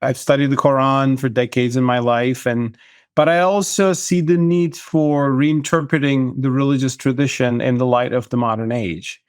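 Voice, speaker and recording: A middle-aged man from Turkey, a guest author, answering interview questions in a measured, thoughtful way. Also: mid-range voice, fairly even intonation, clean tone, no room sound, studio quality.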